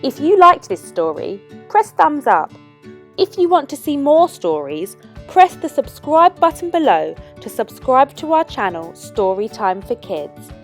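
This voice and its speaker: Very animated, high-voiced woman reading a storybook. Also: faint music behind, some chatter behind. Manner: expressive, careful, deliberate phrasing. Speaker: children's storytime narrator. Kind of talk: scripted storybook read-aloud for young children.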